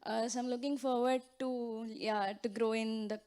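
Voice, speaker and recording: A woman's voice: fairly high pitch, fairly even intonation, moderate pace, darkish tone, clean tone. A woman introducing herself as an incoming student, hesitant and full of fillers. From India. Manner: hesitant, many fillers and restarts. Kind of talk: short self-introduction at a ceremony.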